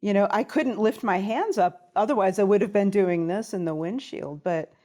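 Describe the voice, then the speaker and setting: A woman's voice: medium pitch, normal speed, mellow. A woman guest on a talk show, telling a personal story in an interview.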